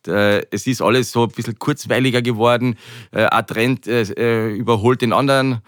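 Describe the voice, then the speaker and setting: A man's voice: mid-range voice, fairly even intonation, moderate pace, no room sound, studio quality. A middle-aged man with an Austrian accent talking conversationally as an interview guest, often hesitating and repeating words as he searches for phrasing. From Carinthia, Austria.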